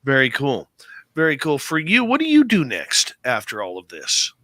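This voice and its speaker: A man's voice: medium pitch, wildly swooping pitch, normal speed, sharp tone, no room sound. A male radio show host interviewing a guest, enthusiastic and emphatic.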